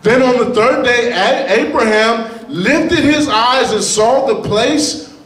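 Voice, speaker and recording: A middle-aged man preaching a sermon at a pulpit with a handheld mic, reading scripture and breaking into emphatic shouted lines. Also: very high voice, slow, distant mic. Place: large church hall with a pulpit. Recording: echoey hall, heard from afar. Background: congregation responding.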